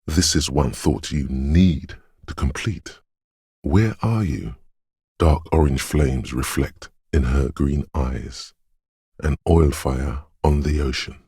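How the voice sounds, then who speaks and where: An actor playing a cryptic, dreamy inner voice of intuition in a video game, theatrical and ominous, with a gravelly hushed rasp and deliberate dramatic pauses. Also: male voice, a bass voice, dry recording, studio quality, full character voice. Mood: mystical, hushed. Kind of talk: theatrical video game narration, second-person poetic musing.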